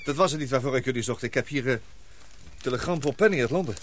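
An actor playing an excitable, loyal expedition companion in a radio drama, halting and exclamatory. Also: male voice, mid-range voice, slight background noise, close to an everyday voice. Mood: hesitant. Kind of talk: radio drama acting in Dutch.

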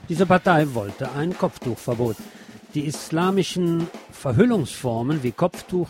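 A man's voice: medium pitch, a little mellow. A man reading scripted news as a radio presenter. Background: music behind the voice.

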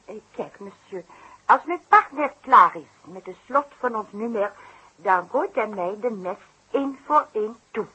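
An actress playing an excitable, outspoken circus assistant who peppers her lively talk with French interjections in a 1960s radio play. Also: medium pitch, measured pace, slightly rough, full character voice, rough recording. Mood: explaining.

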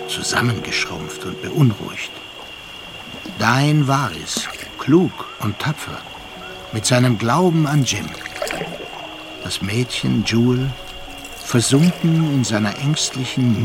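A worldly, contemplative narrator of a literary radio drama. He has a medium-pitched voice and phrases deliberately in standard German. Music plays clearly behind him. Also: expressive. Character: reflective, worldly gentleman narrator recalling his travels.

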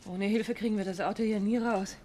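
An actress playing a detective's composed, gently probing wife in a 1950s German radio play, with polite manners. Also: female voice, mid-range voice, steady tone, fairly quick, a little hiss or hum, natural voice. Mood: alert, wry.

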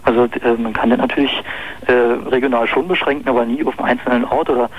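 A man's voice: mid-range voice, fairly even intonation, fast talker, breathy edge. A young man with a Berlin accent calling in to a radio show, talking hesitantly with many fillers. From East Berlin. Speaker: caller. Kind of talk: phone-in chat. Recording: muffled phone-in radio line.

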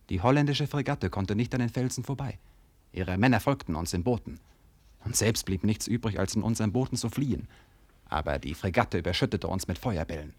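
A young Malay prince and seafarer in a radio drama, proud and defiant. His voice is on the low side, and he declaims with passion. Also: a little mellow, husky. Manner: declamatory, impassioned. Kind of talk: German radio play acting.

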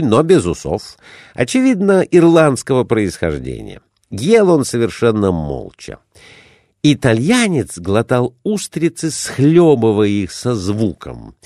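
A man narrating literary fiction as an audiobook reader, in an expressive, theatrical and deliberate storytelling style. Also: fairly low pitch, no room sound, studio quality.

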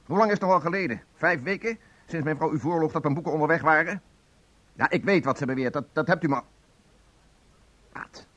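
A man's voice: on the high side, a little fast, pauses often, fairly bright tone. An actor playing an aggrieved, bookish man in a divorce, insistent and agitated, in a Dutch radio play. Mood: indignant, urgent.

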